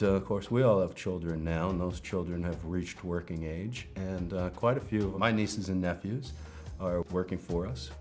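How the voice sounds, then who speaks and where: A man's voice: low voice, a little mellow. A middle-aged man speaking as a franchise owner in an interview testimonial. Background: music.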